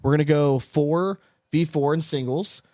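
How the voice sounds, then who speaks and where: A man's voice: on the high side, moderate pace, dark tone, no room sound. Casual male sports podcast host, close and dry.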